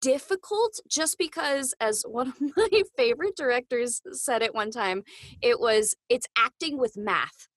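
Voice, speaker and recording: A woman with a fairly high voice speaks as a podcast guest. She is articulate and upbeat in relaxed conversation. The sound is dry, with no room around it. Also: a little slow, no room sound. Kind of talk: casual interview talk.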